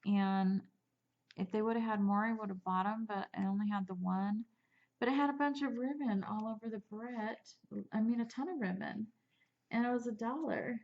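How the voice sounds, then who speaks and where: Chatty woman with a gravelly, muffled voice. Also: a little high, normal speed. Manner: chatty, rambling, with small laughs and restarts. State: sniffly from allergies. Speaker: crafter showing a handmade album. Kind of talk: casual craft show-and-tell.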